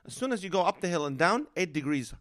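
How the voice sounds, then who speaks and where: A man's voice: high-pitched, animated, quick pace, a bit bright. Chatty Lebanese-born man in his forties. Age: 40s. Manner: chatty, jokey storyteller. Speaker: comedian guest. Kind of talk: casual podcast chat. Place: motel room.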